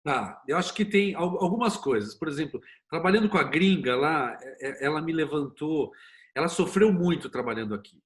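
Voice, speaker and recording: Homem brasileiro de voz levemente aguda. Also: male voice, a little high, animated, moderate pace. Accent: Brazilian. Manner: explains with examples and acted-out quotes, rhetorical questions. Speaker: interview guest, series producer and screenwriter. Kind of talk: conversational interview, anecdotal storytelling.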